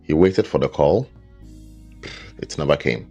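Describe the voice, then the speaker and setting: A man narrating a scripted folktale as a storyteller, with a measured, dramatic delivery. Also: a bass voice, animated, creaky, mellow, lo-fi sound. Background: background music with guitar.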